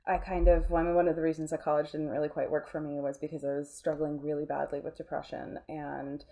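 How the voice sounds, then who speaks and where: Mellow, lower-pitched woman in casual interview. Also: little pitch movement, fast. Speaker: interview guest, audio drama producer.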